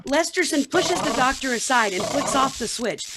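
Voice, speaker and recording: Higher-pitched woman narrator. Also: expressive, moderate pace, heavy background noise. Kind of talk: scripted narration for a radio play reading.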